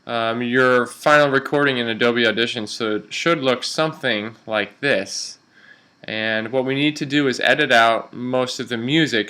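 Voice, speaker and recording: A man working as a radio instructor, explaining software step by step in an informal, instructional way. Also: mid-range voice, clean tone.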